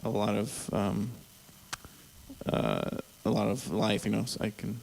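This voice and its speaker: A man talking as a musician in a casual group conversation, thoughtful and hesitant, with a gravelly voice. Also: fairly low pitch, a little slow. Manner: thoughtful, hesitant, soft-spoken. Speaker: musician guest.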